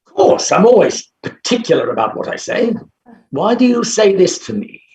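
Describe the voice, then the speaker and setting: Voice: medium pitch, very animated, normal speed, warm tone, slight background noise, lightly acted. An actor playing an arrogant, blustering bachelor phonetics professor, with a pompous, theatrical and dismissive manner, in a staged play reading. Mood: indignant. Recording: roomy, echoing sound.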